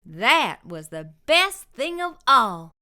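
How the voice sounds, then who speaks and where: High, lively woman reading aloud. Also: slow. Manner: expressive, sing-song storytelling. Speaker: storyteller host. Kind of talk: reading a picture book aloud.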